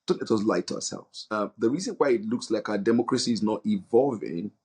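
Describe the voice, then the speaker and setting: The invited guest on a Nigerian talk programme, a man with a low, husky voice, earnestly feeling his way through each answer. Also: brisk, darkish tone. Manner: hesitant, searching for words, earnest. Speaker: interview guest, non-profit leader. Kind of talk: interview answer.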